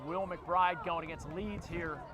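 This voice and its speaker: A man with a Philadelphia accent calling a lacrosse game play by play, quick and jokey, shouting on big plays. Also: fairly high pitch. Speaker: play-by-play commentator. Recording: outdoor broadcast with loud crowd and noise.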